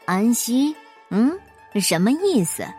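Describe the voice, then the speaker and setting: A woman voicing a calm, sly trickster man who teases the king. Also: fairly high pitch, slow, soft background music. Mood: feigning confusion. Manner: calm, teasing.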